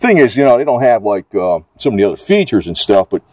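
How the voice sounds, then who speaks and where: A man's voice: on the low side, very animated, fast, a little mellow, no room sound. A man giving a casual, off-the-cuff product demonstration, talking in a rambling, hesitant, folksy way. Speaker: gadget reviewer.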